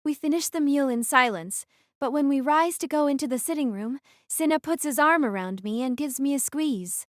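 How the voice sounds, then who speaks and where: Woman acting a teenage girl rebel, high voice, dry sound, first-person drama narration, brooding, defiant. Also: normal speed, no room sound, lightly acted. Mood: somber. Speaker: narrator and lead actress.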